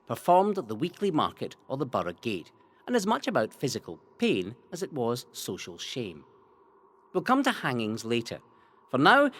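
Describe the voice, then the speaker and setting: A Scottish man reading a scripted history narration in a theatrical, relishing way, with deliberate emphasis. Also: medium pitch, slight background noise. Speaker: narrator of a local history audio guide. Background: music.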